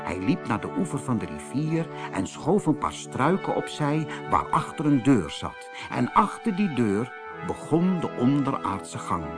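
A man narrating a children's fairy tale in an expressive, dramatic storytelling manner. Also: mid-range voice, unhurried, over music. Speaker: audiobook narrator.

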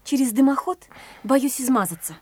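High, scornful young woman for a radio drama; dry, close sound. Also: expressive, normal speed, husky, no room sound. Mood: indignant. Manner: sharp, scornful, indignant. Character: indignant young woman fending off a pest. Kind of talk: studio radio play acting.

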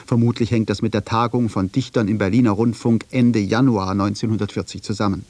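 A man's voice: lowish voice, steady tone, a little fast, darkish tone, no room sound. A man reading scripted documentary narration as the narrator of a radio feature.

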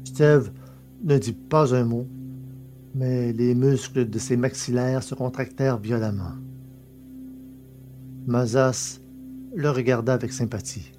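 A male narrator reads a scripted story in a mid-pitched voice. He sounds subdued, with a mild sore throat, and faint music runs underneath. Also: fairly even intonation, slow pace, pauses often, dark tone. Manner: subdued, low energy. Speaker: narrator and podcast presenter.

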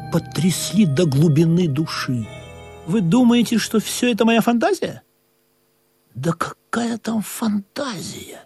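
Animated, slow-spoken, histrionic storyteller hero. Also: male voice, fairly high pitch, creaky. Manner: theatrical, confiding, lingers on words. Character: adventurer narrator, ardent, histrionic, ironic romantic hero. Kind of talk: dramatised comic storytelling for radio. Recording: studio radio play with music.

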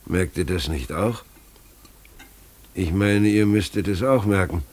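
Slow, deep-voiced brooding farmer. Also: male voice, a little mellow. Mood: uneasy, searching. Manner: ponderous, searching. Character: brooding farmer and father, uneasy, drawn by unseen call. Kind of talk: German radio play acting.

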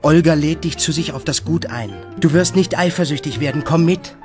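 An actor playing a brisk, sensible friend, affectionate but firm, in a German radio play. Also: male voice, a little high, music in the background, close to an everyday voice. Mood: frank, affectionate.

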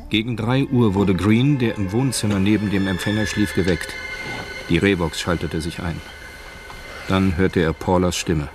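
Deep-voiced male radio drama narrator. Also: steady tone, moderate pace, noisy background.

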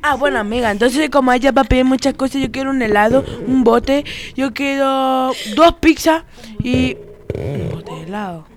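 A woman's voice: high voice, expressive, normal speed. A young woman with an Argentine accent playing an easygoing, chatty friend in improvised radio theatre. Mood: eager.

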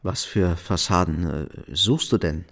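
An actor in a German radio drama playing a middle-aged architect, tired, businesslike, guarded and evasive. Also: male voice, low voice, measured pace, a bit bright, exaggerated voice. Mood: surprised, hesitant.

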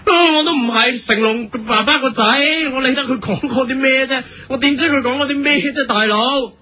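A man's voice: very high-pitched, brisk, sharp tone, slightly rough, heavily acted. A man playing a weary, whining, hungry hero pilot in a comic radio drama, with a Hong Kong Cantonese accent. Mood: grumbling.

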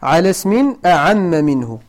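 A middle-aged Moroccan man with a high voice and a very animated, swooping pitch teaches a grammar lesson. He speaks with a Moroccan accent, checks whether his students follow, and repeats each point several times to drive it home. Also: moderate pace, a little hiss or hum. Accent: Moroccan Arabic. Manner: questions the students often, repeats points for emphasis. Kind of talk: classroom lesson.

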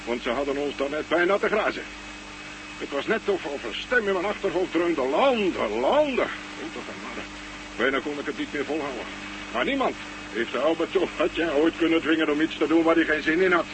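An actor playing an easygoing, plain-spoken Russian space station commander in a Dutch radio play. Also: male voice, on the high side, lively intonation, moderate pace, natural voice. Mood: folksy, stubborn. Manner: colloquial, musing, folksy. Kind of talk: Dutch science fiction radio play acting. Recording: noisy old radio recording with hum.

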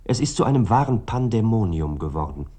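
An actor playing a reflective writer recounting a night of war in a literary radio drama, articulate and brooding. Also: male voice, lowish voice, very animated, fairly quick, mellow. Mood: grave, reflective.

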